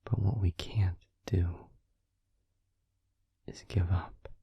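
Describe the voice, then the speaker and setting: Male actor as devoted vampire boyfriend for comfort audio: hushed, very husky, low and unhurried, soothing. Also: fairly even intonation, dry recording, heavily acted. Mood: earnest, reassuring. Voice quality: breathy, husky whisper. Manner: slow, tender, soothing, reassuring. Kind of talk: intimate whispered ASMR comfort roleplay. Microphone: close microphone, dry.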